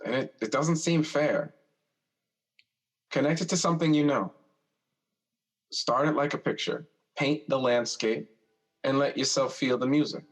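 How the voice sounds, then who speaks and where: A man's voice: medium pitch, unhurried, long pauses, natural voice, low-quality recording. An actor playing an encouraging, poetic older brother in an audio drama, coaxing as he speaks. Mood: gently urging. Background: music.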